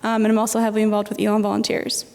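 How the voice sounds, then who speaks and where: A woman's voice: medium pitch, completely flat delivery, very fast, creaky, a little mellow. A senior student in her twenties from Chile, speaking conversationally with frequent fillers on a panel. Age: 20s. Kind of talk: panel discussion. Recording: long hall reverb.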